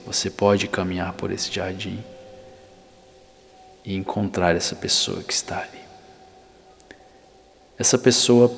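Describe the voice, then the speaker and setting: Deep-voiced Brazilian man guiding in long reverb. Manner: soothing, deliberate, with long pauses. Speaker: guided meditation narrator. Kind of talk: ASMR guided visualization, scripted narration. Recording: long reverb, faint hiss and ambience.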